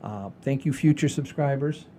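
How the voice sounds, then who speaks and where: A man's voice: moderate pace, warm tone. A man co-hosting a comedy show, chatting casually to the audience in a rambling way and often repeating his phrases. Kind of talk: casual chat, addressing the audience. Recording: low rumbling background noise.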